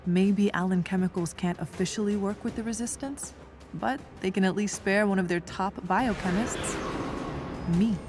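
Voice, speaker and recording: A woman's voice: medium pitch, normal speed, music in the background, lightly acted. A woman voicing a tough, smart young scientist in a video game, dry and matter-of-fact. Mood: reflective, resolved. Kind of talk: video game voice acting, naturalistic dialogue.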